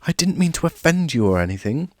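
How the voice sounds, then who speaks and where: A man's voice: on the high side, very animated, quick pace, dry recording, clean studio sound, pitched above the performer's own voice. A man reading as a flustered young wizard boy. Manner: hushed, flustered, polite.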